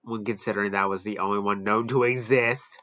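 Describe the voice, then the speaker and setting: A man's voice: lowish voice, fairly even intonation, fairly quick, sharp tone, dull audio. A man giving casual, off-the-cuff commentary as he hosts a product ranking. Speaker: host ranking weather radios.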